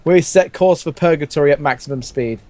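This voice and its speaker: A man's voice: a little high, a little fast, a little mellow, dry recording, natural voice. A man voicing a boastful, blunt cartoon cat who commands a spaceship in a fan story, stiff and mock-serious. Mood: mock-stern.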